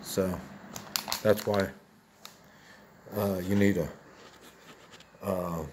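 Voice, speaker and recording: Slow, halting older man, fairly low voice. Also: level tone, darkish tone, a little hiss or hum. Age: 70s. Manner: halting, plain-spoken, repeats himself. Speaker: video host. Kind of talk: casual rambling gear demonstration.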